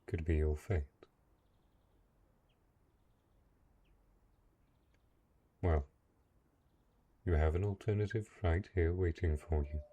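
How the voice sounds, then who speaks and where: Brooding dark knight, played by a male actor: deep, mellow, measured, lonely and possessive, for fantasy roleplay audio. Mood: quietly menacing. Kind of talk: dramatic roleplay audio monologue.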